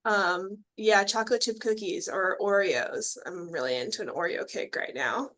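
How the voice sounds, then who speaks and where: Bright-voiced woman in casual chat. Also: medium pitch, moderate pace. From Texas, United States. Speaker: webinar panelist. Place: online video call.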